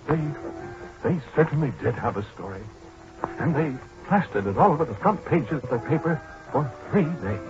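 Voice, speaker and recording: Man as a flustered, wildly expressive American scientist. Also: mid-range voice, natural voice. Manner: hesitant, fussy, excitable. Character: flustered, exasperated middle-aged scientist, fussy and aggrieved. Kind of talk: 1940s radio drama acting. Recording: muffled 1940s radio broadcast with music.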